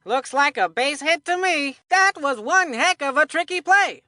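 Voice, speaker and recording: A very high-pitched, bright and punchy young boy ballplayer from a cartoon sports game. He talks in a folksy, confident, chatty way with old-fashioned exclamations. The sound is close and dry, with no room around it. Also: expressive, a little slow, dry recording, full character voice. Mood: instructive, folksy. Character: folksy, cheerful, superstitious boy baseball player. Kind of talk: cartoon video game voicelines, sports banter.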